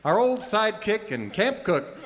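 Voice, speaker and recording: A man's voice: sits high, big pitch swings, moderate pace, noisy background. A man hosting a live comic cowboy radio show, playing the folksy straight man. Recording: long hall reverb, music behind.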